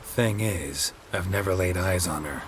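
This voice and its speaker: An actor playing a stoic, battle-hardened monster hunter in a video game, terse and deadpan. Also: male voice, low-pitched, unhurried, a little hiss or hum. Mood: dry, unimpressed. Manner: deadpan, laconic, clipped. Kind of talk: restrained video game acting.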